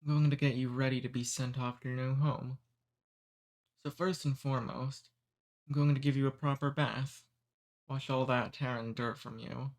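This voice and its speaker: A man voicing a cordial, amused male demon who takes humans away, speaking softly and unhurriedly in an ASMR roleplay. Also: mid-range voice, flat delivery, moderate pace, natural voice.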